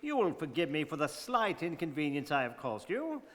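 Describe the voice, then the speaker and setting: A man acts out a cool gentleman traveller for a radio play. His high voice swings widely in pitch as he gives formal, courteous orders. A hall reverb surrounds him. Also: sing-song, moderate pace. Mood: cool, insistent. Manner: formal, imperious. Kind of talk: stage radio-drama acting. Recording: hall reverb, community studio performance.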